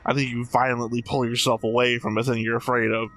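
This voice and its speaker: A man's voice: medium pitch, flat delivery, fast, a bit bright. A man commenting casually on a show as a reaction host, in a wry, joking way.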